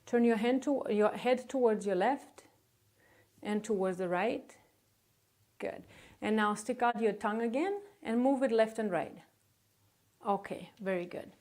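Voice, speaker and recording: Woman, slightly high pitch, medical examiner, calm instructive manner, courteous, short reassuring acknowledgements. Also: unhurried, pauses often. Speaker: medical examiner conducting a physical exam. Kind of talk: calm step-by-step clinical exam instructions.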